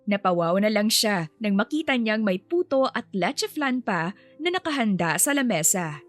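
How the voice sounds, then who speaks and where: A woman's voice: medium pitch, sing-song, normal speed. A woman storyteller reading a scripted romance story as narration. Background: faint music.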